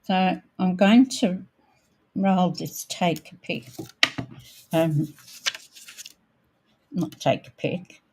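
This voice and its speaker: A woman with a croaky voice hosting a casual live craft demonstration, thinking aloud as she works and sometimes clearing her throat. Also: fairly low pitch, warm tone. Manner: unhurried, thinking aloud while working. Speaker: craft tutorial host.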